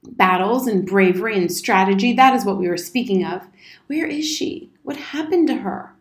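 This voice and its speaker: A woman's voice: medium pitch, expressive, normal speed, close to an everyday voice. A woman voicing a pompous, wordy old male soldier in a storybook reading. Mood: indignant, flustered. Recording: roomy, echoing indoor sound.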